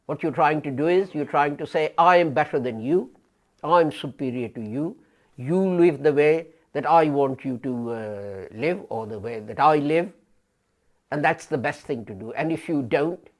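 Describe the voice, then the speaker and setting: A man in his fifties giving a sermon as an imam, speaking deliberately with pauses and rising emphasis, recorded in a small studio. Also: on the high side. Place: small studio before a printed backdrop. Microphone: fixed camera, head and shoulders framing.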